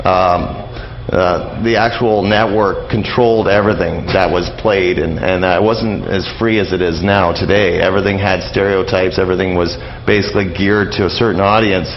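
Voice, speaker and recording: Rambling low-voiced man, dull lo-fi sound. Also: fairly even intonation, normal speed, slight background noise. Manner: rambling, informal, explanatory. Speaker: guest interviewee, old-time radio enthusiast. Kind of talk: casual radio interview conversation. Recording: dull lo-fi radio audio, crowd murmur.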